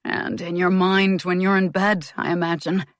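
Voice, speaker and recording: A woman voicing a calm, gently ironic American gentleman who speaks deliberately. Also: lowish voice, no echo, studio quality, lightly acted. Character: wry, cool-headed American gentleman suitor.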